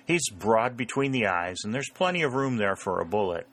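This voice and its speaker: A man's voice: fairly low pitch, sing-song, moderate pace, a bit bright, heavily acted. A man voicing a careless young soldier in an audiobook, casual and dryly teasing. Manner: casual, dry. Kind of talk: audiobook character voice.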